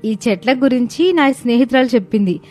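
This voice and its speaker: A woman's voice: a little high, expressive, brisk, darkish tone, soft background music, lightly acted. A woman playing a sweet, polite young daughter-in-law who explains things earnestly in a story cartoon. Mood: explaining. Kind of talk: dramatized moral story cartoon.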